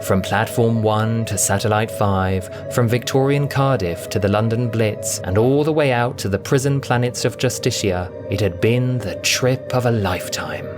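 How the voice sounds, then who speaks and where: A man narrating a scripted science-fiction story with a theatrical, expressive delivery. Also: lowish voice, normal speed, few pauses. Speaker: audiobook narrator and voice performer. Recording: loud music bed under the voice. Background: music.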